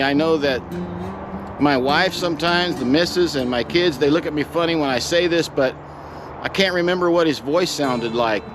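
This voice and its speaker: A middle-aged man sharing a personal story in a reflective, sincere, plain-spoken way, as if chatting to viewers. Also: a little high. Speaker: pipe vlogger telling a personal story.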